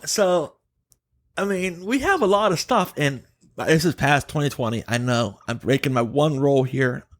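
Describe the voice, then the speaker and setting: A male podcast host with a somewhat higher-pitched voice. He talks casually and offers opinions with animated emphasis, as if chatting with a friend on his show. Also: moderate pace.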